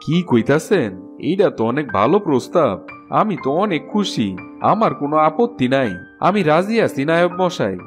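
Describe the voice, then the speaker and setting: Man playing a humble farmer father, higher-pitched voice. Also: big pitch swings, normal speed. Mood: eager, grateful. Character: humble, agreeable village farmer, an elderly father. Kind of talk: cartoon fairy tale dialogue. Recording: background music under the voice.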